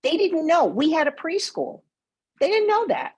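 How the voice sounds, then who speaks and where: A woman with a husky voice, a school board chair speaking informally in a meeting discussion. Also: extremely high pitch, big pitch swings, normal speed, a little mellow.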